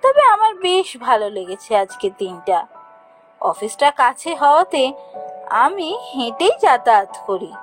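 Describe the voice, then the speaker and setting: A woman's voice: high voice, very animated, measured pace, soft background music. A woman voicing an anxious young female office worker, telling a cartoon ghost story in a dramatic, hesitant way. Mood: cheerful, reflective. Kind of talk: first-person cartoon ghost story narration.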